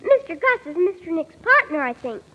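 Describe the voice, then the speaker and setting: Squeaky, guileless little girl. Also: sing-song, moderate pace, pushed and bright, full character voice. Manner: eager, literal, childlike. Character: chatty, guileless little girl, a shopkeeper's granddaughter. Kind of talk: old-time radio drama acting. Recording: muffled old radio recording with reverb.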